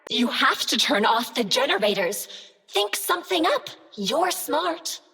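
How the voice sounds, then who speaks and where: A bright, pleading AI companion. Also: female voice, high voice, unhurried, heavily acted. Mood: tender. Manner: pleading, sweet, animated. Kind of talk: video game character dialogue.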